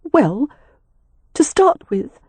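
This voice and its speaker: An earnest young wife acted by a woman in a storybook romance, emotional and emphatic. Her voice is husky and high, lilting widely through each plea, with a dry, close sound. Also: sing-song, extremely slow, a little mellow, no room sound, full character voice. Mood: tearful. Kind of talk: audiobook character dialogue.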